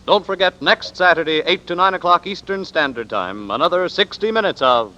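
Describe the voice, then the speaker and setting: A man reading credits and promotions as a 1940s radio announcer, crisp and formal. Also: sits high, animated, brisk, heavy background noise. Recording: dull lo-fi 1940s radio broadcast.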